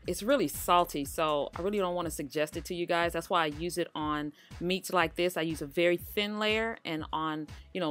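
A woman hosting her cooking channel in a relaxed, chatty voice-over, talking straight to her viewers. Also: on the low side. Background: faint background music.

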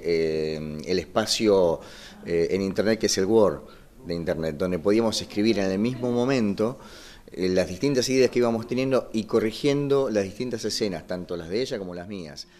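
Argentine male writer, 50s, interview talk, low pitch, winding halting sentences. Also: a little mellow. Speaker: radio drama scriptwriter. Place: small wood-panelled room indoors. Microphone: microphone just in front.

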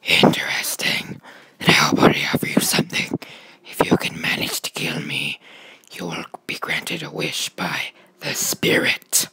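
A man voicing a proud, menacing dragon in a raspy, hissing whisper, speaking pompously in a comedy skit. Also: rumbling low, fairly even intonation, sharp tone, no room sound, voice pushed down, exaggerated voice, rough recording. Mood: grave. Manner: pompous, slow, threatening.

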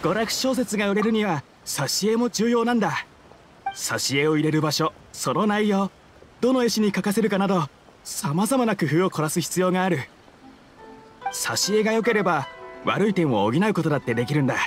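Earnest, high-pitched townsman. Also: lively intonation, normal speed, soft background music. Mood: earnest, opinionated. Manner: earnest, explanatory. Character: earnest townsman, opinionated light-novel fan. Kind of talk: anime game voice acting.

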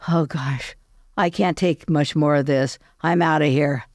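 Slow, halting young stage lover, acted by a man. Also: a little high, fairly even intonation, no room sound, studio quality, close to an everyday voice. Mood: fed up.

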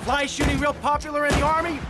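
An actor playing a bossy, sarcastic crime boss in a video game, curt and commanding. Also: male voice, extremely high pitch, flat delivery, fast talker, very noisy. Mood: sardonic. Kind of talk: video game cutscene acting.

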